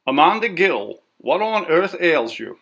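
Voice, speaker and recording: A man voicing a brisk, bossy, suspicious sister in a story, with a scolding, insistent manner. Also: a little high, sing-song, vocal fry, fairly bright tone, husky, pitched above the performer's own voice, full character voice. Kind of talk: audiobook character voice.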